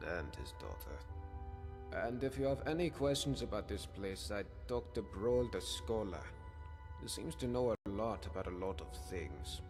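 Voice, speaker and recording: An actor playing a stern but helpful townsman in a fantasy game, speaking to a stranger in a deliberate, wry, warning way. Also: fairly low pitch, animated, over music. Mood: helpful, casual. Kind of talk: video game character dialogue.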